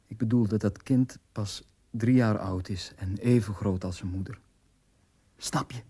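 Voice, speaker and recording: A husky, proud dwarf nobleman. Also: lowish voice, a little slow, warm tone. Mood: grave. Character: Hercules, proud cultured dwarf nobleman and father. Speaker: radio drama actor. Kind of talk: 1980s Flemish radio drama acting.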